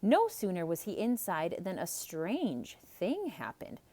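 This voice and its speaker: Woman, storyteller, theatrical children's narration, slow pace, dry close sound. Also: fairly low pitch, huge pitch swings, warm tone, no echo.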